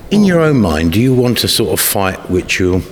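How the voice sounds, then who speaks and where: A man's voice: on the low side, big pitch swings, normal speed, slight background noise. A man interviewing a boxer, asking long multi-part leading questions. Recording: long reverb.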